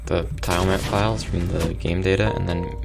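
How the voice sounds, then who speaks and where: Calm, soft-spoken male game developer with a low, gravelly voice. Rambling stream commentary, lots of ums. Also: normal speed, over loud music. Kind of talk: casual live-stream commentary.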